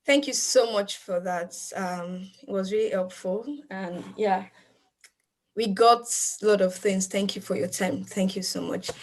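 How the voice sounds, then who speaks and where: A woman's voice: medium pitch, unhurried. A woman hosting an online event on a video call, chatty and playful as she introduces the next session. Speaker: event host. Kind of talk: casual moderating.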